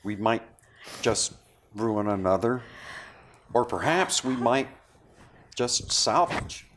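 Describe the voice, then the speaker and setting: A man playing a solemn, philosophical character who speaks slowly and deliberately in a dramatic script read. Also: mid-range voice, lively intonation, fairly bright tone, a little hiss or hum. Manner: slow, measured. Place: indoor studio.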